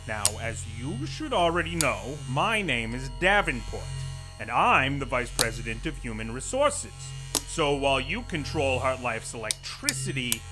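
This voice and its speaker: An actor playing a pompous, bullying corporate vice president in an audio drama, imperious, condescending and sarcastic. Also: male voice, medium pitch. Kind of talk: theatrical audio drama acting.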